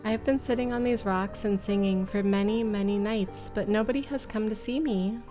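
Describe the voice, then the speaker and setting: A woman's voice: medium pitch, normal speed, dark tone, faint music behind, lightly acted. A woman voicing a sweet, well-bred young mermaid with polished, formal speech for a visual novel. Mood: wistful. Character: refined, girlish, amicable young female mermaid, sweetly excited. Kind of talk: visual novel character read aloud.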